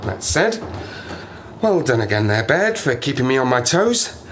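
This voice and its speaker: Male survivor, played by an actor, audio drama monologue: sardonic, rambling, isolated and cracking up, very lively intonation, faint ambient noise. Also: mid-range voice, measured pace. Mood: wry, manic. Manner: rambling, self-mocking, sardonic, talks to himself. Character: stranded plane-crash survivor, wry, lonely, rambling, half-mad.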